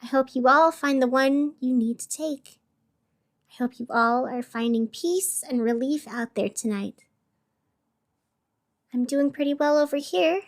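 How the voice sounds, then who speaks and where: Woman acting high-voiced, emotional female radio host. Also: measured pace, long pauses. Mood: soothing, gentle. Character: female radio host, wounded, fiery, turning soothing on air. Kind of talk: audio drama acting.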